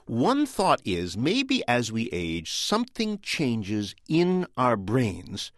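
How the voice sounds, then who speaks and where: A man's voice: medium pitch, wildly swooping pitch, slow pace, no room sound, studio quality. An American man working as a radio science correspondent, telling a story conversationally and dramatically, repeating and stretching key words.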